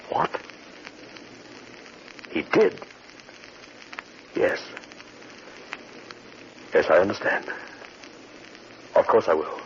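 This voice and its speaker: Low-voiced, earnest worried son. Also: male voice, exaggerated voice. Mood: grave, worried. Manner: earnest, restrained. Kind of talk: 1940s radio acting. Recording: muffled, hissy 1940s radio broadcast.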